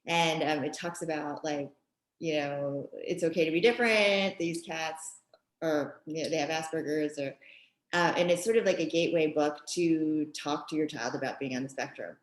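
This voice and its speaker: A middle-aged woman from Japan, talking thoughtfully in an interview with frequent pauses and ums. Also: deep voice. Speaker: guest author and mother. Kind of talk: conversational interview. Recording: echoey room.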